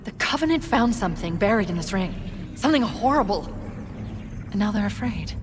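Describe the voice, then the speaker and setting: A woman's voice: medium pitch, expressive, brisk, slight background noise, close to an everyday voice. A woman playing a brilliant, imperious artificial intelligence in a video game, with an intense, dramatic delivery. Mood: dawning dread. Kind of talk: cinematic video game acting. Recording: long hall reverb with music behind.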